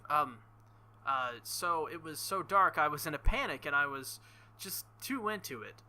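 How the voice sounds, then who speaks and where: A man with a very bright, forceful and fairly high voice hosts a game playthrough. He reads story text aloud and breaks into animated, sweary joking asides. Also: moderate pace. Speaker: video game playthrough host. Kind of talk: gameplay commentary reading visual novel lines aloud.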